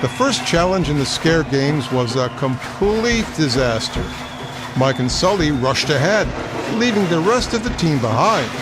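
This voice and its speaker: Animated male storybook narrator over music. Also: fairly high pitch, a little slow. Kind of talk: scripted children's read-along narration. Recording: music playing behind.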